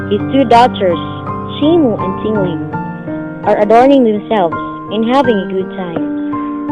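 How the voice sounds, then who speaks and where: A woman narrating a scripted story as the narrator of a drama. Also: mid-range voice. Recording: dull lo-fi recording with music.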